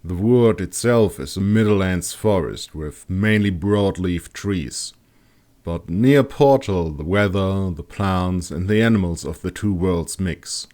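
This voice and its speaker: A man's voice: low-pitched, slow, darkish tone. A man voicing a weathered middle-aged male scout, observant and matter-of-fact, in an audiobook. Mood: explaining.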